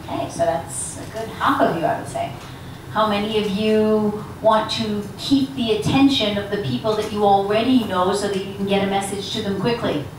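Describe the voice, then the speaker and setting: A woman leads an engaging workshop talk and keeps turning questions back to her audience. She is recorded from well back in a large, very echoey lecture room. Steady background noise sits under her voice. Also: medium pitch, normal speed, recorded from a distance. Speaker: guest speaker on elevator pitches. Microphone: handheld mic from a distance.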